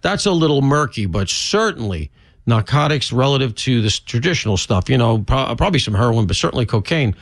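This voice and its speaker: A man's voice: medium pitch, sing-song, fairly quick, dry recording, clean studio sound. A male radio host interviewing a guest on a political talk show, chatty and opinionated.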